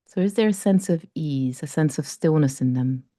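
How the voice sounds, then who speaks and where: A woman guiding a breathing meditation, speaking slowly and gently with long pauses. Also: sits low, dry recording, studio quality. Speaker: meditation guide.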